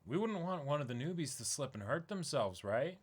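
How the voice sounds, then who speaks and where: A man voicing a cheeky, smooth-talking young male dive shop helper in a manga reading. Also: on the high side, brisk. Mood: placating. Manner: placating, flattering.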